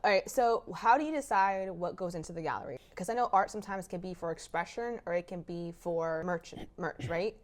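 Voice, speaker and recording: A woman's voice: on the low side, fairly quick, hardly any pauses. A woman hosting a casual interview podcast, encouraging and affirming as she talks with her guest.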